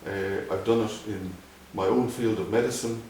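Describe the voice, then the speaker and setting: A middle-aged man with a Dublin Irish accent, answering interview questions in a halting way, with many restarts. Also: lowish voice, fairly even intonation, a little mellow, slight background noise. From Ireland. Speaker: guest, doctor. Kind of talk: conversational interview. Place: museum room. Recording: echoey room, from a distance.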